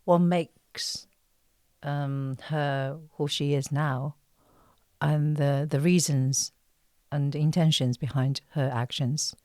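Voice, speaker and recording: A woman speaking as an interview guest on a podcast, halting and thoughtful, with many pauses. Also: deep voice, fairly even intonation, warm tone, no room sound, studio quality. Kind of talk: conversational interview.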